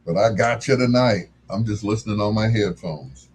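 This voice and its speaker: An older man hosting a music livestream, chatting casually in a laid-back, folksy, unhurried way. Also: fairly low pitch, a little hiss or hum. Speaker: livestream music show host.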